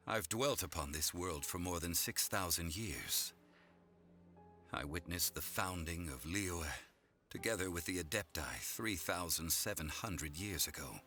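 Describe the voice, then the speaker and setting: Ancient dignified god, really deep but clear bright edge, formal, game cutscene. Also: male voice, expressive, soft background music, heavily acted. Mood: reflective. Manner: formal, measured, archaic. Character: ancient male god, solemn, composed and dignified. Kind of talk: video game cutscene acting.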